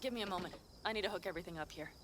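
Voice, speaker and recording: An actress voicing a confident female mercenary in a video game, giving quick, commanding orders. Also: lowish voice, noisy background, close to an everyday voice. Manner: brisk, commanding planner. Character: tough female fixer, confident and cocky. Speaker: mercenary ally.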